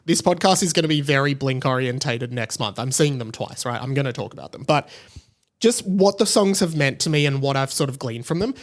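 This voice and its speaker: A man's voice: mid-range voice, animated, brisk. An Australian man in his late twenties chatting casually and enthusiastically, as a solo podcast host. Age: late 20s. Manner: enthusiastic, gushing.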